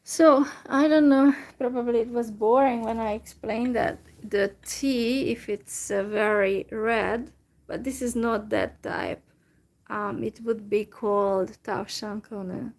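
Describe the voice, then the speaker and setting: A young woman from Central Europe chatting casually to her viewers in a halting way, with many fillers and restarts. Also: fairly high pitch, fairly even intonation, creaky, darkish tone. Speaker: vlogger. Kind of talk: casual solo chat to viewers.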